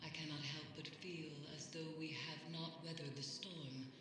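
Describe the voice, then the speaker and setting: A woman voicing a grave, foreboding mystic counsellor in a video game, with solemn, measured, formal speech. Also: deep voice, steady tone, close to an everyday voice. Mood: uneasy, grave. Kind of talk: scripted video game dramatic acting. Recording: very echoey hall with background noise. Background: ambient noise.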